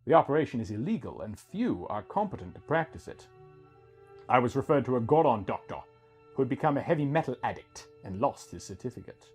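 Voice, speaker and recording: A man's voice: mid-range voice, very animated, brisk, darkish tone, husky. A man reading a scripted first-person story as its narrator, with music behind him.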